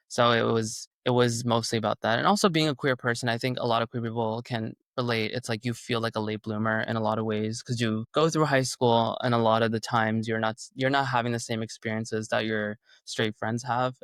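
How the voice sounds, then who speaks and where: A man's voice: medium pitch, a bit bright, no room sound. Chatty young man, dry close sound. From Canada. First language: Urdu. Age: young adult. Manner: rambling, many "like" and "you know" fillers. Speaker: singer-songwriter guest. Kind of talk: casual interview chat.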